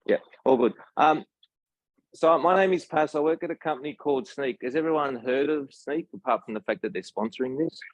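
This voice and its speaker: Slightly husky Australian man presenting. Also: a little high, fairly quick, no echo, poor audio. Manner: conversational, addresses the audience with questions and tag "right?". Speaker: tech company presenter. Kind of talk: informal live technical talk at a meetup.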